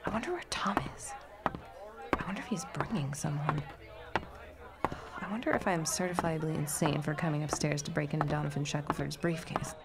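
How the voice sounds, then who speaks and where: A woman's voice: low voice, moderate pace, pauses often, very noisy. A woman playing a loyal, droll 1910s friend and nervous amateur snoop in an audio drama, dry and understated. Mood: nervously plotting. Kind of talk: period audio drama acting. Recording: busy party chatter behind.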